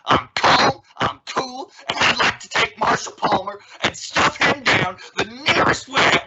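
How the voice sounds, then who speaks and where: A woman's voice: sits high, a little slow. A woman playing an exasperated, stubborn family father in an old-time radio sitcom, blustering, sarcastic and prone to stammer when cornered. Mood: furious. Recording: muffled recording with clear noise and vehicle sounds.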